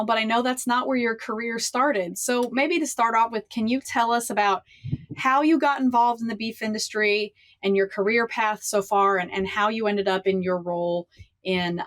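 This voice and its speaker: A woman hosting an interview podcast, welcoming her guest in a friendly, chatty way with a few restarts. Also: on the high side. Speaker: podcast host. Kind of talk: casual interview chat.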